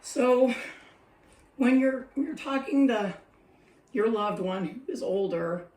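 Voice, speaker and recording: A woman's voice: fairly high pitch, takes it slowly, warm tone. A middle-aged woman with a scratchy voice chatting reflectively in a vlog, laughing often as she talks. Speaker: senior care worker sharing advice. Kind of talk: casual talking-to-camera vlog. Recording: roomy echo, mic a bit distant.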